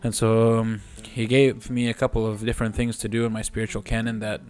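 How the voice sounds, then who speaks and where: A man's voice: on the low side, brisk, faint music behind. A man in his twenties, a podcast guest and physical therapist, speaking earnestly and steadily. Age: 20s. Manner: earnest and steady, with occasional restarts. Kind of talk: casual chat.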